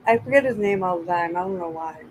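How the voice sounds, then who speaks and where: A man's voice: high-pitched, quick pace, slight background noise, low-quality recording. A man in his forties co-hosting a casual chat, easygoing and joking. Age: 40s.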